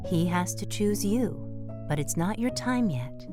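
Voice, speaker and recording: A woman's voice: mid-range voice, lively intonation, measured pace, over music, close to an everyday voice. A woman voicing a tender, patient mother mouse in an audio drama, soothing and wistfully devout.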